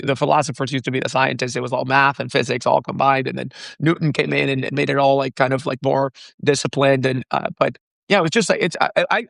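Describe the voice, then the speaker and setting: A young man from the Dominican Republic, a founder being interviewed, talking in an animated way with frequent laughs and restarts. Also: medium pitch, creaky, no room sound, studio quality. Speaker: startup founder, guest. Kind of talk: casual interview chat.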